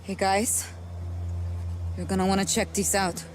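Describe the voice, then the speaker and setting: A woman's voice: medium pitch, little pitch movement, a little slow, slight background noise, lightly acted. An actress playing a focused young female rebel fighter in a video game. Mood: confiding, purposeful. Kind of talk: video game cutscene acting.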